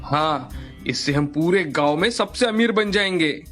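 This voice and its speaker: A woman's voice: deep voice, lively intonation, measured pace, fairly bright tone, soft background music, voice pushed down. A woman voicing village men in a children's storybook tale, speaking plain, firm dialogue. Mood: scheming. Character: village men: helpful farmer brother, greedy rich headman.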